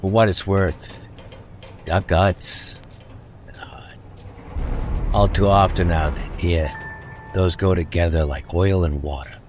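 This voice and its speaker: An actor playing a weary, menacing mob boss with a sentimental streak in a radio drama, deliberate in delivery. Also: male voice, low-pitched, fairly even intonation, a little mellow, faint music behind. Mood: somber menace.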